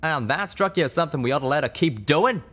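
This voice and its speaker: A man voicing a gruff, hot-tempered male pegasus pony with a drawl, loud and blustering. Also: sits high, wildly swooping pitch, measured pace, voice pushed up, heavily acted. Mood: angry.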